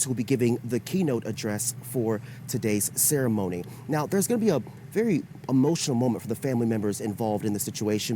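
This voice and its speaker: A man's voice: medium pitch, mellow. A man delivering a live news report from the field. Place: outdoors near a memorial site. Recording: outdoor live report, faint ambient noise.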